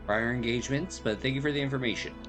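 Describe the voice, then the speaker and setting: A man's voice: mid-range voice, quick pace, music in the background. A man giving casual gameplay commentary and reading dialogue aloud as he streams. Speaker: streamer playing a game.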